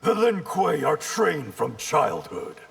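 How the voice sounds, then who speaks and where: A man's voice: fairly high pitch, huge pitch swings, slow, a bit bright, exaggerated voice. A man playing an arrogant, cold and ambitious ninja assassin in a video game, speaking formally and with haughty, clipped disdain. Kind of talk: video game character acting. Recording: clear noise behind.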